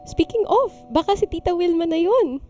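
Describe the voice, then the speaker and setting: A woman's voice: extremely high pitch, moderate pace, mellow. A woman playing an affectionate, playful young wife in a radio drama, with music behind her. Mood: gentle. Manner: teasing, sweet. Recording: music under the voice.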